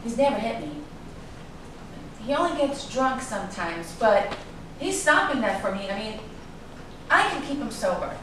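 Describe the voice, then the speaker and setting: A woman's voice: a little high, big pitch swings, moderate pace, long pauses. A woman playing a defiant, guarded actress in a staged drama reading, with a sarcastic, cutting delivery. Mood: defensive. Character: defiant, guarded actress in love with a suspected man. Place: echoey room at a staged reading. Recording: echoey room, distant mic, noisy background.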